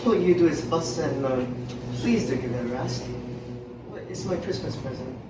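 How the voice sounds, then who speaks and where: A woman's voice: very deep voice, normal speed. A woman as a distant pantomime poor relation. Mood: plaintive. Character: fed-up, hungry, pleading poor relative of Scrooge. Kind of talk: pantomime stage acting. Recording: distant echoey stage, background noise.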